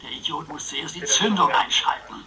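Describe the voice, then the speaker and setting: An actor playing a roguish, chatty older uncle in a radio crime drama, teasing, with florid relish. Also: male voice, on the high side, moderate pace, a loud crowd, natural voice. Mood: gossipy, amused. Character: roguish, chatty old uncle, womanising bon vivant.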